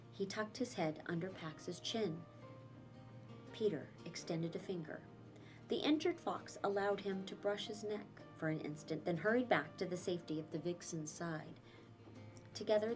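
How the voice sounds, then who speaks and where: A woman, a teacher, reading a children's novel aloud in an expressive, dramatic way with emphatic phrasing and pauses. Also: lowish voice. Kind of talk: expressive children's storybook narration.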